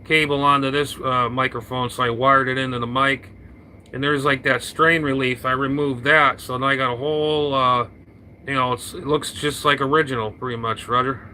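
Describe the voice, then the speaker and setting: A man chatting casually over his radio, rambling and halting with lots of fillers and restarts, talking into an old hand mic. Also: mid-range voice, fairly even intonation, background noise. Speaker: radio operator. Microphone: vintage hand mic on a radio.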